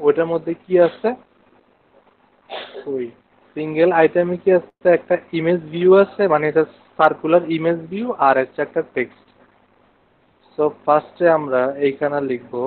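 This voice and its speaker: Higher-pitched Bangladeshi man, phone-like sound. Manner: explains step by step, asking questions of students; mixes in English technical terms. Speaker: programming instructor. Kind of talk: live online coding lesson. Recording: narrow phone-like online class audio.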